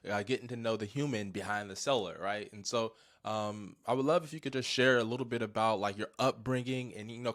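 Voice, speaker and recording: A man's voice: fairly low pitch, a bit bright. A man hosting a podcast, speaking casually with a friendly, rambling manner full of fillers. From California. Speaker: podcast host.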